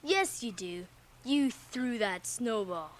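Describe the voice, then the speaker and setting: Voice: mid-range voice, expressive, takes it slowly. A performer playing an earnest, conscientious small-town schoolboy, serious and dutiful, in a radio drama. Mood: accusing. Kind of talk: 1980 radio drama acting.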